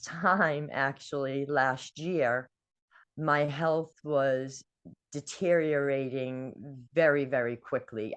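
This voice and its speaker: Slow, low-voiced older woman. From New England. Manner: earnest, emotional storytelling with frequent ums. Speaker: guest. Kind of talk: personal testimony.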